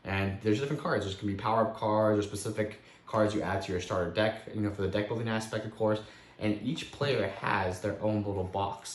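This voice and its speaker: A man with a voice still hoarse from a cold, casually reviewing a board game at home and rambling with many self-corrections. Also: fairly low pitch, roomy sound, a little hiss or hum.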